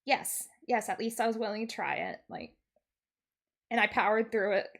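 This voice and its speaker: A woman livestreamer chatting casually and telling stories in an animated, giggly, expressive way. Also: on the high side, normal speed, gravelly. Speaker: streamer, VTuber host.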